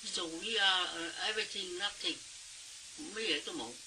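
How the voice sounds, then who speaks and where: A woman recalling events in halting, broken English. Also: fairly low pitch. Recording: distant mic, frying hiss.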